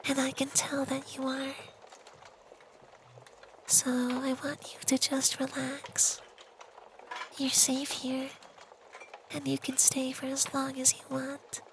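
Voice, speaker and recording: A performer voicing a gentle, soothing femboy vampire in a soft, half-whispered ASMR roleplay. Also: high-pitched, completely flat delivery, takes it slowly, vocal fry, long pauses, noisy background, heavily acted. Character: gentle femboy vampire who senses emotions, soothing, wistful.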